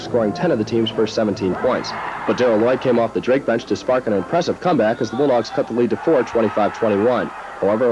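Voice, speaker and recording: An American man reports game highlights for television at a brisk clip. His delivery is scripted. The sound is dull, with clear noise behind him. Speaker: TV sports reporter. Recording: muffled, very noisy old broadcast tape.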